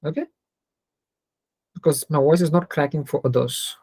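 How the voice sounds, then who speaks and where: A man's voice: on the high side, normal speed, darkish tone, slightly rough, no room sound, clean studio sound. A man with an Indian accent teaching an interactive online maths class, working through problems aloud and often checking in with students as he switches between Hindi and English.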